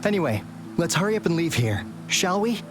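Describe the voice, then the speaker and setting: A woman's voice: lowish voice, sing-song, fairly quick, over music. A woman voicing a polite but firm security escort in a video game. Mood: firm, reassuring.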